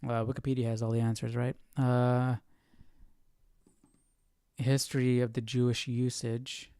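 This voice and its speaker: A man's voice: medium pitch, little pitch movement, a little slow, warm tone, clear, resonant tone, no room sound. A man with a mid-range voice talks casually through a Bible study in a very dry recording. He wonders aloud, poses questions and moves between reading and informal comment. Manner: questioning, musing. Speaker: Bible study livestream host.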